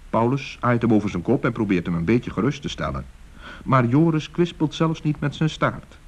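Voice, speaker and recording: A man narrates a vintage children's radio play in a calm, even storytelling manner. His voice sits at an ordinary middle pitch, and he reads the story steadily. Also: normal speed, slightly rough.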